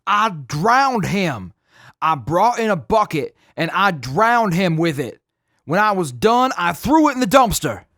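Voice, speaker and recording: A forthcoming captive, acted by a man in a wasteland radio drama. The voice is high for a man, theatrical and animated, taking its time. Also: unhurried, dry recording, clean studio sound, heavily acted. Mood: eager, confessional. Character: former slave prisoner, oddly forthcoming confessed killer. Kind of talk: post-apocalyptic radio drama acting.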